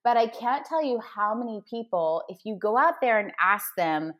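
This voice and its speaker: A woman's voice: fairly high pitch, animated, moderate pace, highs cut off. A woman talks as a podcast guest, coaching listeners with upbeat, motivational energy. She lays out her advice step by step, in a casual, conversational way.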